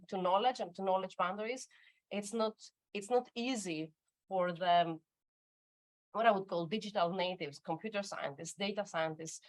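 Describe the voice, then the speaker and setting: A woman's voice: on the low side, no room sound. A middle-aged woman speaking as an academic panelist at a conference workshop, talking off the cuff with many fillers and restarts. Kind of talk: unscripted conference panel talk.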